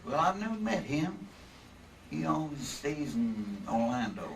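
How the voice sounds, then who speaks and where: A man's voice: on the high side, big pitch swings, a little slow, gravelly, heavily acted. Man acting folksy radio-drama husband, distant mic. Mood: earnest. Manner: folksy, hemming, repeats himself. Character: male insurance man Earl, hospitable, excitable, bossy husband. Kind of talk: old-time radio drama re-creation on stage. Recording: distant stage mics, some room noise.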